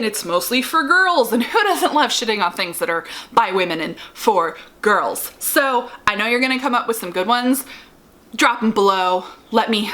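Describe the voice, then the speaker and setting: A woman's voice: a little high, pushed and bright. A woman vlogger giving opinionated advice to her viewers in an informal, wry, emphatic way, stressing key words. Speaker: YouTube host, writing advice vlogger. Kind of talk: opinionated advice vlog to camera.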